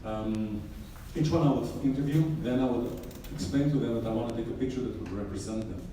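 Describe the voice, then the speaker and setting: A middle-aged photographer from Israel talks through his portraits in a loose, joking way, full of ums and asides. He is caught from a distance in a very echoey room with clear background noise. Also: medium pitch, a little fast, warm tone. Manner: casual storytelling with frequent fillers and wry humor. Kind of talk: artist talk about his photographs. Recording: echoey room, mic far off, noisy.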